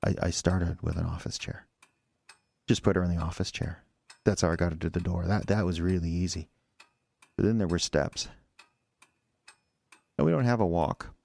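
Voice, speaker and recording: An audio drama killer, a husband making his confession. He rambles and stammers, defensive and agitated, with a creaky, gravelly edge to his voice. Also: low-pitched, moderate pace, pauses often, a little mellow. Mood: weary, subdued.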